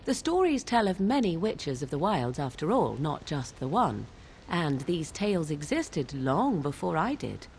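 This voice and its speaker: An actress playing an aloof, sardonic young witch of the wilds in a fantasy video game, with formal archaic diction and a deliberate, theatrical delivery. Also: low voice, big pitch swings, darkish tone, a little hiss or hum, heavily acted. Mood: wry, guarded.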